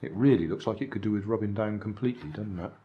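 A man's voice: on the low side, fast talker, narrow, tinny audio, slight background noise. An older man in casual show-and-tell narration, chatting dryly about charity shop finds while handling them at a table. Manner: dry, chatty, musing asides. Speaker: vlog host reviewing charity shop finds. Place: indoors at a table, hands on camera.

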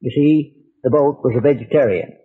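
An actor playing a boastful, easily indignant old sea captain spinning tall tales in folksy talk for old-time radio. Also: male voice, mid-range voice, normal speed, breathy edge, natural voice. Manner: folksy, colloquial yarn-spinning. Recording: muffled vintage radio broadcast recording.

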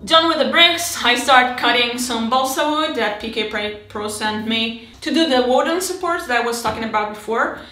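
Woman, 30s, halting casual hobby chat, slightly high voice, roomy home recording. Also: bright, forceful tone, soft background music. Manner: chatty, halting, frequent restarts and fillers. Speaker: miniature terrain hobbyist. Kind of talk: casual hobby vlog narration.

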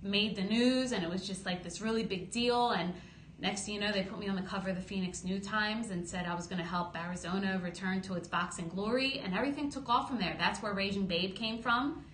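A woman in her thirties, a boxing promoter, telling her story in a lively podcast interview at a close studio mic. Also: medium pitch, brisk. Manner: lively storytelling, gestures while talking, acts out quotes. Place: small studio office, indoors. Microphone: studio boom mic close up. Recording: roomy studio with faint hum.

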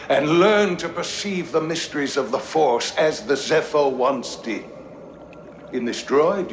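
An actor playing a wise, solemn old Jedi master in a game's recorded message, speaking in a stately, deliberate way. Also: male voice, mid-range voice, noisy background, close to an everyday voice. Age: older. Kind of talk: game cutscene acting, solemn monologue. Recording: echoing chamber, clear background ambience.

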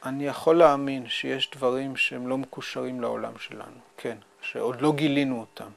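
A man, explaining things in a measured interview manner. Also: mid-range voice, fairly even intonation, vocal fry, a crowd in the background. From Israel. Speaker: scientist, interviewee. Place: small indoor room with bookshelves.

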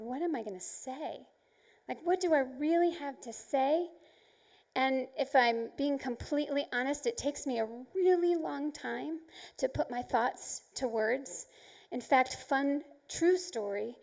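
A middle-aged woman pastor with a soft-spoken voice, chatty and self-deprecating, preaching to young adults on a large church stage into a handheld mic. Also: high voice.

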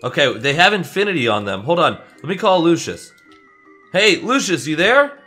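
A man's voice: fairly high pitch, moderate pace, soft background music, exaggerated voice. A young man playing a friendly, eager superhero in a casual, chatty, improvised video game roleplay. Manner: chatty, excitable, buddy-like.

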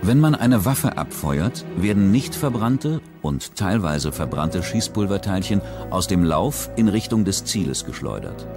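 A deep male voice narrates a crime documentary in steady, measured sentences. A clear music track runs under his voice throughout.

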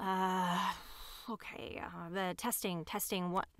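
A woman playing a scatterbrained, self-important disgraced neuroscientist in an audio drama, halting and digressive as she justifies herself. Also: fairly low pitch, flat delivery, music in the background. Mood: flustered, chatty. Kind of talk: audio drama monologue as if recording a homemade show.

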